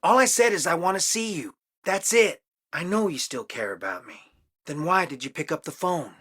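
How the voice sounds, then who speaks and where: A man's voice: on the high side, expressive, moderate pace, fairly bright tone, breathy edge, dry recording, studio quality. An actor playing a conflicted, wounded young man in a video game, speaking in an earnest, emotionally strained way. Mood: urgent, pleading. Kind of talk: dramatic video game dialogue.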